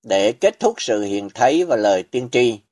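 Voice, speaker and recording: A man preaching a scripted sermon as a voice-over, reading in a solemn, measured way with emphatic pauses. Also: mid-range voice, very animated, a little mellow.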